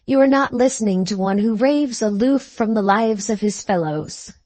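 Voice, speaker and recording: A woman's voice: on the high side, moderate pace, darkish tone, no echo, close to an everyday voice. Woman reading as a fervent, solemn visionary male scholar. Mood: earnest, solemn. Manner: solemn, lofty, oratorical. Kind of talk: literary audiobook character reading.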